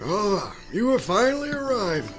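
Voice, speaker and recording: A man playing a frail, genteel old patriarch in a comedic horror audio drama, speaking haltingly. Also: high-pitched, big pitch swings, music in the background. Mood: welcoming, halting. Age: older. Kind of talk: comedic horror radio-play acting.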